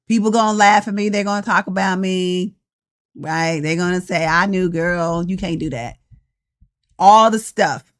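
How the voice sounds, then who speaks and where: A woman's voice: mid-range voice, fairly bright tone. A sixty-year-old woman coach, giving a passionate, tired, preachy live talk straight to her viewers. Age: 60s. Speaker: life coach. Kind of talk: motivational live-stream talk.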